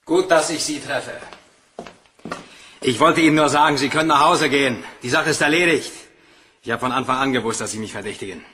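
An actor playing an anxious, defensive young bank clerk with a guilty secret, in a German crime radio play. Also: male voice, medium pitch, brisk, sharp tone, a little hiss or hum, close to an everyday voice. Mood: agitated, defiant.

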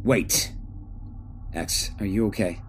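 An actor playing an earnest, protective captain in a science-fiction audio drama, urgent and pleading. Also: male voice, fairly low pitch, slow, slight background noise. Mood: concerned, protesting.

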